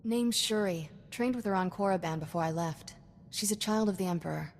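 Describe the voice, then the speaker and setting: An actress playing a sassy, cocky young Jedi sidekick in a video game, with wry, clipped banter. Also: female voice, on the low side, fairly quick, big-room sound, slight background noise, natural voice. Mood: matter-of-fact.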